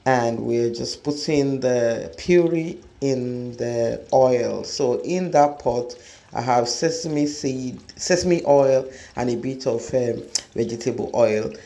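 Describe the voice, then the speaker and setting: A woman's voice: a bass voice, slow, warm tone. A middle-aged woman hosting a home cooking demonstration, explaining each step to viewers in her kitchen. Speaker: cooking show host.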